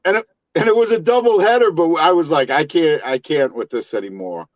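A man from Massachusetts chatting on a podcast, his pitch a touch high and swinging a lot as he rambles through stories, swearing freely. The sound is dry and close, with no room around him. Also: animated, a little fast, no echo, lo-fi sound. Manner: profane, rambling storytelling. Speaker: podcast co-host. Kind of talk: casual chat.

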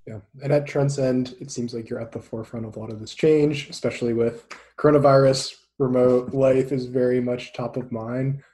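A man's voice: medium pitch, little pitch movement, normal speed, few pauses, dark tone. A man hosting a podcast, asking easygoing questions with brief affirmations. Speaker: podcast host. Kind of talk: casual interview questions.

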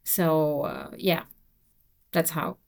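A young woman whose first language is French, talking relaxed and casually as an interview guest, with many fillers. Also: low voice, very slow. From Belgium. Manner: relaxed, frequent fillers and "you know". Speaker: guest translator.

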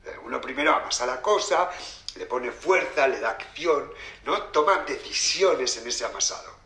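A man's voice: medium pitch, expressive, moderate pace, sharp tone. A man playing a patient, philosophical cook explaining his craft in an audio drama. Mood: reflective, instructive. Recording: roomy echo, faint background noise.

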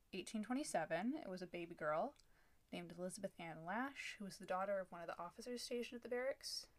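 Woman co-hosting a chatty podcast. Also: medium pitch. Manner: reads from notes with small restarts and asides. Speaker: podcast co-host. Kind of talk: casual chat, then telling a researched history.

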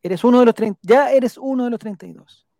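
A live stream host with a Chilean accent talks casually in a dry, close sound. The delivery is playful and rambling, with viewer comments read aloud and names repeated slowly back. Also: mid-range voice, gravelly, a little mellow, no echo. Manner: rambling, repeats names while jotting them down; playful, joking.

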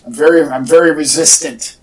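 A man's voice: on the high side, steady tone, brisk, background noise. A man hosting a podcast in casual, rambling chat with a wry, joking manner. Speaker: podcast host. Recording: distant mic, echoey in parts.

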